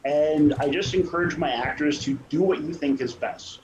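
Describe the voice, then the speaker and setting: A man with a fairly high voice talks casually as a director in a panel discussion. He rambles and rephrases as he goes. The sound is echoey and a little dull, with faint noise. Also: normal speed. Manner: rambling, repeats and rephrases himself. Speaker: radio play director and troupe leader.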